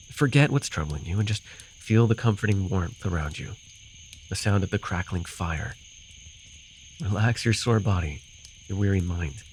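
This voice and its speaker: A man playing a gruff but caring, easily flustered fantasy forest guide in an immersive ASMR roleplay, speaking hesitantly and soothingly. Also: on the low side, a little hiss or hum, close to an everyday voice, rough recording. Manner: hesitant, soothing, sighing, teasingly defensive.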